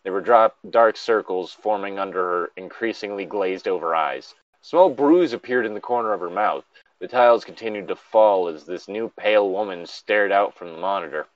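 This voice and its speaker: A man's voice: fairly low pitch, normal speed, no room sound. A man casually reading a story aloud, with irreverent, sweary joking asides. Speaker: co-host reading stories aloud. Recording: dry, dull lo-fi microphone.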